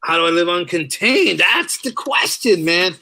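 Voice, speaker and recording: A man's voice: sits high, expressive, moderate pace, sharp tone, no room sound, studio quality. A man speaking as a podcast guest in casual conversation, often repeating words for emphasis. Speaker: podcast guest, writer and audio drama creator. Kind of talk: casual interview chat.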